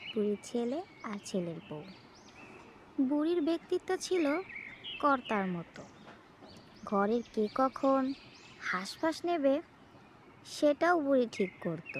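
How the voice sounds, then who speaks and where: A woman's voice: high voice, slight background noise. A woman giving scripted narration of a family folk tale. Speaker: story narrator.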